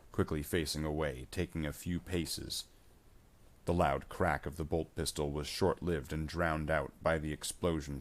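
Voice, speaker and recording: A man reading scripted science fiction battle narration. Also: a bass voice.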